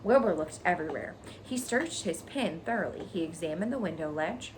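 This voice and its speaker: Casting: female teacher reading to children, lively mid-range voice, informal explanations, audible noise behind. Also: lowish voice, brisk. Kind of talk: expressive children's story reading with casual explanations. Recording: noticeable background noise.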